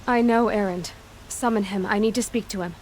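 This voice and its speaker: A woman's voice: mid-range voice, steady tone, a little fast, a little mellow, a little hiss or hum, natural voice, low-quality recording. An actress playing a determined young woman hunter in a video game, speaking firmly and directly. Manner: firm and direct. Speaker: protagonist. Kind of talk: game cutscene acting. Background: game score.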